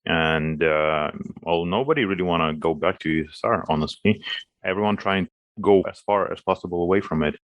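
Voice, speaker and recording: A young Ukrainian man talks in informal English with a Russian-speaking Ukrainian accent. His voice sits very low and the sound is dry. He leans on casual fillers as he speaks. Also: brisk, no echo, highs cut off. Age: young adult. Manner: informal, uses fillers like "like" and "well". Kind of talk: casual conversation.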